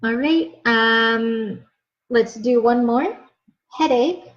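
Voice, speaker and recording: A woman's voice: on the high side, very slow. A young woman teaching an English lesson, explaining words patiently and checking in with "okay?" after each point. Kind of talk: slow, clear ESL lesson explanation. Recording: slightly dull, lo-fi sound.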